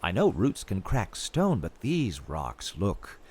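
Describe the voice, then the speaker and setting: Man acting as obsessed male researcher, audio drama monologue, eerie wonder, halting phrases, extremely varied intonation. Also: low-pitched, huge pitch swings, darkish tone, slightly rough, full character voice. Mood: intrigued, uneasy. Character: eerily fascinated male field researcher slipping into madness. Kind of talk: audio fiction monologue, recorded field log.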